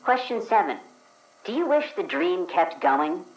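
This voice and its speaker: A woman playing the reader of a dream questionnaire, asking each question in a crisp, procedural way. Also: on the low side, sing-song, unhurried, low-quality recording. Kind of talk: actual play, reading scripted questions.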